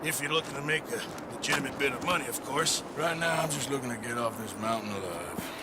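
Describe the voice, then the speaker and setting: An actor playing a chatty, grumbling, rum-drinking camp cook among outlaws in a video game, talking with theatrical emphasis. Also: male voice, mid-range voice, quick pace, heavy background noise, lightly acted. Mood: chatty, teasing. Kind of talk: video game cutscene acting.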